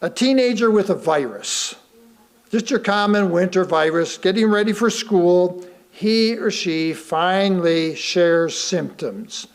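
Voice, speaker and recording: An American man with a high voice delivers a written sermon. His pace is slow and deliberate, and he leaves pauses to let key phrases land. He puts rhetorical questions to his listeners as he reads. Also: lively intonation. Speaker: lay reader delivering a sermon. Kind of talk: sermon read aloud to a congregation.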